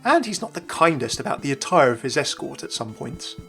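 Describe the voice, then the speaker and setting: A man's voice: mid-range voice, very animated, brisk, gravelly, fairly bright tone, faint music behind. A young man hosting a gaming video with scripted narration. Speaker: YouTube gaming host.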